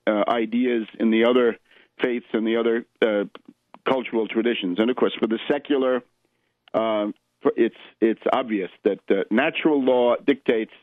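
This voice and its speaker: A man's voice: medium pitch, no room sound. An American man hosting political radio, delivering an emphatic, oratorical and urgent opinionated monologue. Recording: tinny band-limited radio line, dry.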